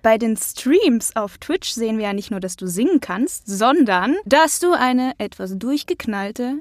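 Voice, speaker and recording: Woman, interview host, casual questions, higher pitch, dry close sound. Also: lively intonation, measured pace, dry recording.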